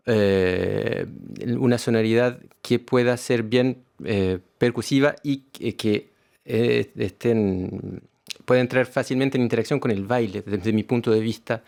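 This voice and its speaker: A man's voice: mid-range voice, creaky, a little mellow. A man with a Chilean accent, a musician being interviewed, talking reflectively and often searching for words.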